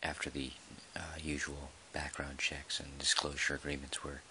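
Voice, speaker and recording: A man playing a measured, dry park employee who dictates an official audio log in a halting, deliberate way, in ASMR roleplay style. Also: extremely low pitch, monotone, sharp tone, a little hiss or hum, exaggerated voice. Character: male dinosaur park employee, measured, dryly put-upon record keeper.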